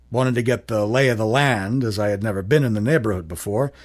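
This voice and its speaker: A man's voice: mid-range voice, darkish tone, close to an everyday voice. An actor playing a wry, observant 1930s everyman who narrates a radio drama in colloquial, musing first person. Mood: wry, reminiscing.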